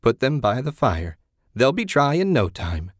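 A man voicing an eerie old woman hermit in a story, folksy and hospitable yet sly, with drawn-out phrasing. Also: mid-range voice, very animated, no room sound, studio quality, pitched above the performer's own voice. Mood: insistent.

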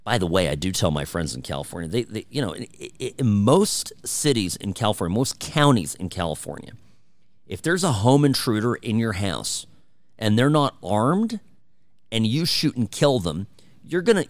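Animated deep-voiced male talk radio host. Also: normal speed, no echo. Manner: opinionated, emphatic, conversational. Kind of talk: conservative talk radio monologue. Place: radio studio.

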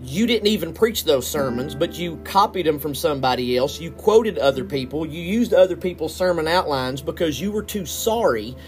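An earnest man hosting a solo podcast, his voice sitting a little higher than most. He speaks with plain indignation, and soft guitar music plays quietly behind him. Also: lively intonation, moderate pace, few pauses. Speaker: podcast host.

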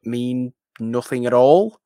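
A man's voice: mid-range voice, takes it slowly, dark tone, no echo, studio quality. A man co-hosting a podcast, casually discussing films in an emphatic way, often repeating words and phrases for stress. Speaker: podcast co-host.

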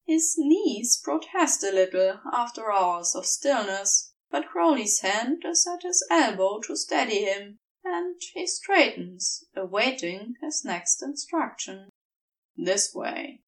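A woman narrating a scripted story in a measured, expressive storytelling manner, recorded a little back from the mic. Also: a little high, distant mic, rough recording. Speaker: fan fiction audiobook reader.

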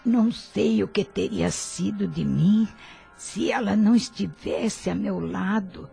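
Ailing old mother, gravelly and dark-toned, slow and pleading, radio drama. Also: mid-range voice, soft background music, exaggerated voice. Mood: tender. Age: older. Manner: soft, imploring.

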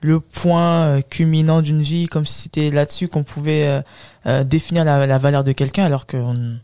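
A woman's voice: low voice, steady tone, normal speed, warm tone, clean tone. A woman hosting a conversational interview, speaking in a thoughtful, informal and wordy manner. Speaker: podcast host, interviewer.

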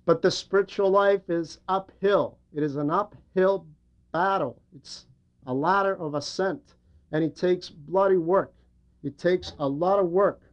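A man's voice: sits high, a little slow, lo-fi sound. A man delivering an explanatory religious homily in a didactic way, repeating phrases for emphasis. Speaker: preacher and religious teacher.

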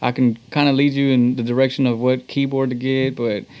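A man's voice: medium pitch, level tone, fairly quick, smooth. Slangy Texan man in muffled audio. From Texas. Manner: slangy, conversational. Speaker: interview guest, talk box musician. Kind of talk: casual chat.

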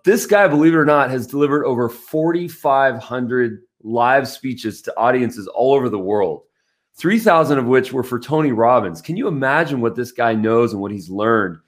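A man hosting a podcast, who reads the show's intro and then eagerly hypes up his guest. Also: mid-range voice. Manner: enthusiastic, hyping up the guest. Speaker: podcast host.

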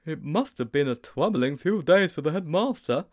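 A man's voice: lively intonation, moderate pace, lightly acted. A man voicing a nervous, timid schoolboy who lisps his r's as w's, in an audiobook reading. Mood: anxious, eager. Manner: lisps r as w, squeaky and breathless. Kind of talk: audiobook character voice.

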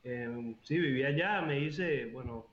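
A man from Honduras, a guest answering interview questions casually with soft hesitations. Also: medium pitch, takes it slowly, slight background noise. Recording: dull, roomy remote call audio.

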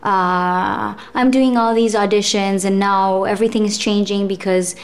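Young actress chatting in an interview, thoughtful, lots of ums. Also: female voice, mid-range voice, steady tone, a little slow. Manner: thoughtful, with frequent fillers and laughs. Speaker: actress and guest. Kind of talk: casual interview chat.

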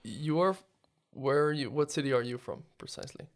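Male podcast host, mid-pitched voice, casual and tentative questions. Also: brisk. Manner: hesitant questions with restarts and light laughter. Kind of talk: interviewing, casual chat.

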